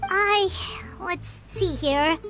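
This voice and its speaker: Very high squeaky fairy girl, chatty, flustered, earnest, anime game, music behind. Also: drawn-out words, exaggerated voice. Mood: hesitant, earnest. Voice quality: squeaky, childlike. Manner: speaks of herself in third person, flustered. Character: tiny childlike flying fairy companion girl, chatty and earnest. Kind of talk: anime-style game voice acting.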